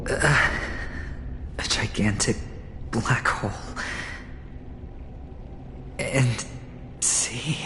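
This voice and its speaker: An actor playing a reckless, flamboyant young male gambler in a video game, with a theatrical, drawn-out delivery. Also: medium pitch, noisy background. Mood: dazed, quiet. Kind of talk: dramatic video game voice acting. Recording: loud music behind the voice.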